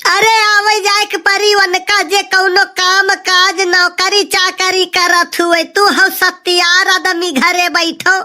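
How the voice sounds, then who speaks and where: Very shrill, scolding Awadhi cartoon mother. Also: level tone, slow, few pauses, pushed and bright, exaggerated voice. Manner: scolding, argumentative. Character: sharp-tongued village mother, scolding wife, doting on son. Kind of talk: comic cartoon dialogue.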